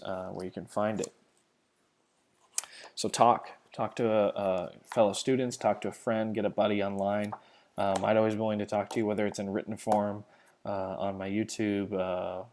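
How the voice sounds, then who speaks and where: A man teaching ancient Greek, talking casually and recommending books, with a relaxed, rambling delivery full of fillers and restarts. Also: lowish voice, slight background noise. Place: church community setting.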